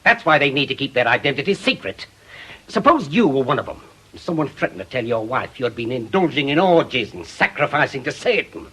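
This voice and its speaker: An actor playing a bitter, vengeful widowed ex-policeman in a British radio drama, forceful and sardonic. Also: mid-range voice, big pitch swings, brisk, slightly rough, exaggerated voice. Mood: insistent, angry. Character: bitter, obsessive widowed ex-policeman bent on revenge.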